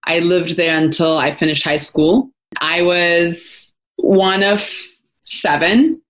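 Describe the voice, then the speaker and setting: A young woman from Ethiopia answers interview questions in a relaxed, rambling way. Her voice sits a little low, and she pauses often with fillers and restarts her sentences as she thinks. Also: low-quality recording. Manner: thoughtful, many fillers and restarts. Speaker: founder, guest. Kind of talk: casual interview talk.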